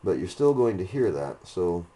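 A man giving a casual, unhurried hands-on demonstration, explaining each step as he works. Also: sits low, very animated, dark tone. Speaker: hobbyist demonstrator, host. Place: indoor hobby room. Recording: noisy room, machine noise.

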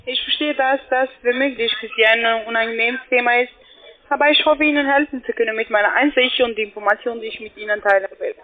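A woman delivering a scripted pitch as a telephone sales agent. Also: fairly even intonation, fast talker. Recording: narrow tinny phone line.

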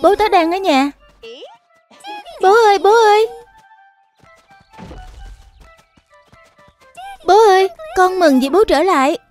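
A performer voicing a cute, excitable young animal cub in a dubbed children's cartoon, speaking in an eager, childlike way. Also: very high voice, moderate pace, faint music behind. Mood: excited.

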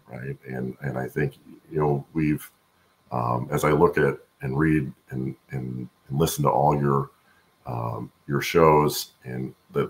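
A middle-aged man with a gravelly voice answering interview questions conversationally on a video call from home, haltingly thinking aloud. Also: extremely low pitch, a little hiss or hum. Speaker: guest, supply chain expert and voice-over announcer.

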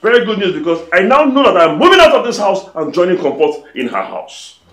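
An aggrieved Nigerian husband in a screen drama. His fairly high voice leaps and drops dramatically, with indignant, emphatic phrasing and a sarcastic edge. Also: huge pitch swings, brisk, fairly bright tone, exaggerated voice. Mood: angry, sarcastic.

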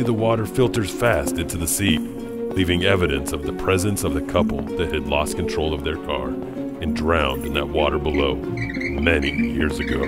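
A man hosting with scripted storytelling narration of spooky urban legends. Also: sits low. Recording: loud music under the voice. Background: loud music.

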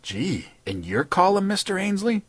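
A man voicing a broad, friendly male patrolman who talks in a folksy, plain-spoken, higher voice. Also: sing-song, moderate pace, husky, no echo, heavily acted. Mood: eager. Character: broad, friendly male beat cop, simple and eager.

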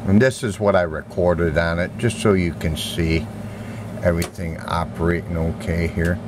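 A man's voice: sits low, steady tone, moderate pace, very noisy. A man giving a casual, unscripted, plain-spoken product demonstration as a seller, recorded indoors on a handheld camera's microphone. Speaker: seller demonstrating used audio gear. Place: indoor room at home. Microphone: handheld camera mic, speaker off screen. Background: music playing from a record.

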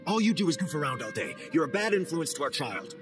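A man voicing a middle-aged male villager who yells and scolds while driving off an oni in a game cutscene. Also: fairly high pitch, big pitch swings, fast talker, big-room sound, music in the background. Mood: scolding. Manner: yelling, scolding.

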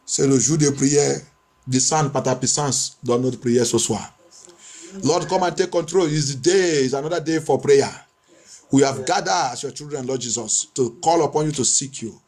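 A man's voice: mid-range voice, unhurried, darkish tone. A male pastor leading fervent prayer and preaching, insistently repeating his phrases like a chant. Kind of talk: fervent bilingual prayer and sermon.

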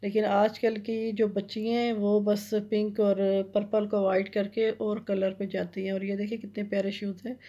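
A woman's voice: medium pitch, flat delivery, moderate pace, hardly any pauses, dark tone. A middle-aged woman chatting casually as she walks through a large clothing store, gushing over the items and filling her talk with fillers, filmed on a handheld phone. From Pakistan. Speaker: vlogger. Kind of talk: casual shopping vlog narration. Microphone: handheld phone camera. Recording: dull lo-fi phone audio.

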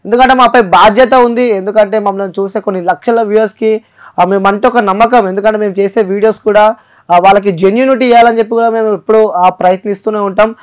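Male interview host, high voice, rapid delivery, Telangana accent, emphatic explainer, mixes in English. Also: fairly even intonation. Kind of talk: news-channel interview hosting, explanatory.

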